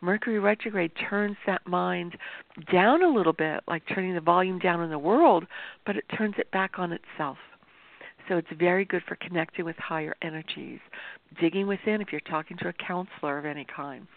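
A woman with a sore throat talks straight to her viewers in an easy, explanatory way, her voice gravelly and full of fry. The sound is tinny and narrow, like a phone line, and completely dry. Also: lowish voice, fairly quick, no room sound. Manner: chatty, explanatory, addresses viewers directly. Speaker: astrologer hosting a show. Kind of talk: informal talk-show monologue.

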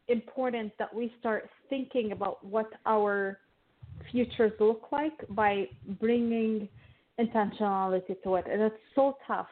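A woman from Lebanon, a guest financial therapist, explaining her views in a thoughtful way with frequent restarts. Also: on the high side. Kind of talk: casual interview talk. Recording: tinny phone-like line.

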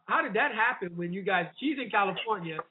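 Lively high-voiced radio host on a phone line. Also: male voice. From Washington, D.C. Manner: lively, chatty, quick interjections. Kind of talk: casual radio interview.

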